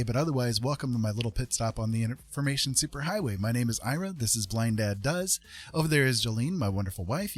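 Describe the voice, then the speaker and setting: A man with a husky voice hosting a casual livestream, rambling along in folksy, welcoming patter as he chats. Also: medium pitch. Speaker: livestream host and artist. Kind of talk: casual chat while streaming digital art.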